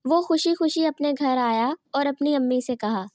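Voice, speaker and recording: A woman narrates a children's story from a script. Her voice sits a little high, and the sound is dry, with no room echo. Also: normal speed, darkish tone.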